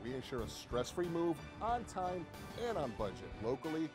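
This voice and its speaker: A man pitching a moving company in an energetic local TV ad. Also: moderate pace, over music. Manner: energetic salesy delivery. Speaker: ad spokesman.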